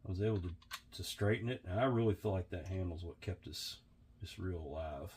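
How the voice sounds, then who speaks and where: A hoarse, sniffly man walks through a workbench repair in an unhurried, chatty tutorial style. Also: deep voice, darkish tone, slight background noise. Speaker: vintage reel restorer.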